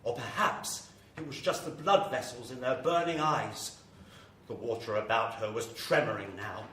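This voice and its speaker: Guy doing a grave horror radio narrator, forceful n theatrical, echoey room, bit of noise. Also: mid-range voice, moderate pace, close to an everyday voice. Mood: suspenseful, ominous. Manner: theatrical, suspenseful, building to intensity. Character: grave, ominous male horror story narrator. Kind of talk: scripted dramatic horror narration. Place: live performance venue with audience. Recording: echoey live hall, distant mic, faint noise.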